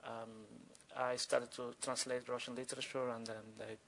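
A man from Cuba with a Cuban accent and Spanish as his first language, speaking spontaneously and haltingly as a guest author at a live talk. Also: mid-range voice, level tone, gravelly, a bit bright, slight background noise. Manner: halting, with repeated words and broken phrases.

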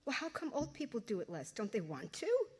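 A woman's voice: a little high, huge pitch swings, a little fast, darkish tone, voice pushed up. A woman reading aloud and voicing an outspoken teenage girl who fires off pushy, exasperated questions. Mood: insistent.

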